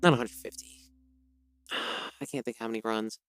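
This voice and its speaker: A slightly hoarse man streaming a game, reading its story text aloud and then chatting casually, rambling and correcting himself with many fillers. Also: mid-range voice, steady tone, moderate pace, a bit bright, dry recording, soft background music.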